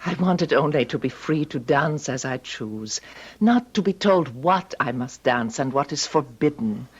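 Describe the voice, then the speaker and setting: A husky, expressive female voice with a foreign European accent, heard on a muffled old radio drama recording. a fearful exiled ballerina who speaks hesitantly and theatrically, her pitch moving widely with her nerves. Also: unhurried, heavily acted. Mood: fearful, earnest. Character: frightened, dramatic émigré female ballet dancer seeking asylum.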